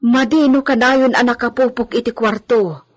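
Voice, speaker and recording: A woman's voice: a little high, steady tone, normal speed, lightly acted. An actress playing a chatty, concerned household helper woman in a village radio drama, speaking in a folksy, gossipy manner. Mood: worried gossip.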